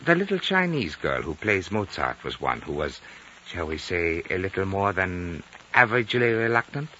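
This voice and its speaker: Old, muffled radio sound with faint hiss frames a courteous Chinese elder in his nineties. His low voice is suave and precise, with lively, theatrical inflection. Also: normal speed, full character voice. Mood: calm, wry. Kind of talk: 1950s radio drama acting.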